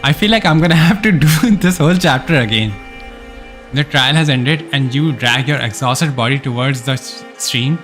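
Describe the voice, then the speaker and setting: A man's voice: medium pitch, normal speed, a bit bright, faint music behind. A man live streaming a game, reading its story text aloud with casual asides, sometimes stumbling over words and correcting himself.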